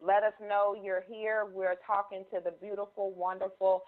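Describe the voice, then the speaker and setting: A woman's voice: mid-range voice, level tone, normal speed. Encouraging woman host, effusive, phone-line sound. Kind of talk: casual conference-call chat.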